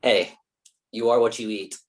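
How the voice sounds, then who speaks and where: A man's voice: fairly low pitch, fairly even intonation, normal speed, natural voice. A man playing a theatrical, flirty, self-pitying actor in his mid-thirties in a staged play reading. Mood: dramatic. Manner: whiny, theatrical, pretentious.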